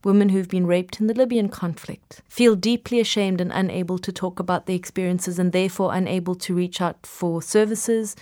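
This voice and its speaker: A woman's voice: lowish voice, darkish tone. A woman who works as a human rights advocate, answering an interview question in a thoughtful, articulate way.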